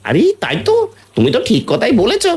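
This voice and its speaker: A man voicing a scheming, excitable meat seller in a cartoon, exclaiming with a wailing, hawking delivery. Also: high-pitched, a little fast, husky, heavily acted. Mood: delighted, scheming. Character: scheming, excitable male meat seller fretting over money. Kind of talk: cartoon voice acting.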